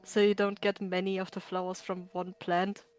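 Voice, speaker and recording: A woman's voice: medium pitch, level tone, moderate pace, soft background music. Woman chatting casually over a game stream. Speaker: co-commentator on a game livestream. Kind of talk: casual game commentary chat.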